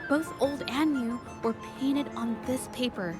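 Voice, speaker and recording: An actress voicing a gentle, earnest young woman painter in a video game, speaking thoughtfully and politely. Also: sits high, a little slow, music in the background. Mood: earnest, keen. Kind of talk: English video game voice acting.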